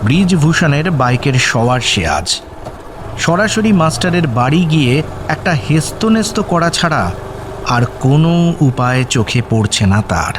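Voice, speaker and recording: A man narrating a scripted radio story in an expressive, dramatic manner. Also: on the high side, moderate pace, noisy background.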